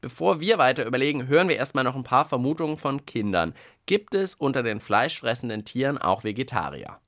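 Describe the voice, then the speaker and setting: A man's voice: medium pitch, animated, brisk, a bit bright, dry recording. A man co-hosting a children's radio show in a casual, playful, explaining way, asking questions into a close studio microphone.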